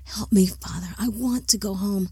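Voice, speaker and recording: A woman's voice: medium pitch, moderate pace, slightly rough, no echo, studio quality, close to an everyday voice. A woman voicing a frightened young man who pleads urgently with his captors. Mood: desperate.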